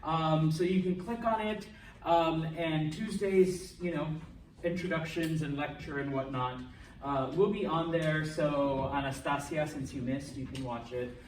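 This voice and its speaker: A male language instructor giving a relaxed classroom lecture, joking and checking in with students often. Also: fairly high pitch, a little slow. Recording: echoey classroom from a distance, faint noise.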